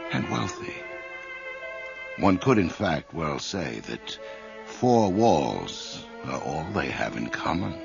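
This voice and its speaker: A sinister host of a radio horror show. His voice is extremely low and gravelly, swinging in pitch with ominous, mocking relish. The recording is dull and lo-fi. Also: exaggerated voice. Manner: ominous, deliberate, drily mocking. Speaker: host introducing a radio play. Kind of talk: theatrical scripted radio horror introduction.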